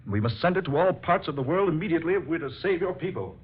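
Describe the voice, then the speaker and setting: A man's voice: fairly high pitch, animated, fast talker, close to an everyday voice. A man acting an earnest, dignified scientist with formal, theatrical phrasing in an old-time radio drama. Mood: urgent. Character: earnest, dignified male scientist doctor, hero in love. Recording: muffled, noisy old radio recording.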